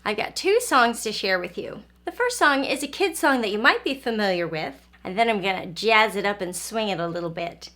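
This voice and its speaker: A woman hosting an interactive read-aloud for young children, speaking in simple, expressive teacher talk and often pausing to ask the listener questions. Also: mid-range voice.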